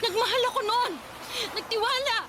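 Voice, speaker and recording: An actress with a Filipino accent playing a hurt, scornful former mistress in an emphatic, confrontational radio drama style. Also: female voice, very high voice, brisk, background noise. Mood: bitter, anguished. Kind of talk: Tagalog radio drama acting.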